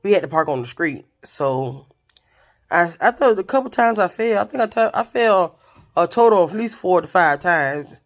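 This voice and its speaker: Raspy lady vlogging, just telling a story to the camera. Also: fairly low pitch, normal speed. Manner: chatty, expressive, addresses viewers directly.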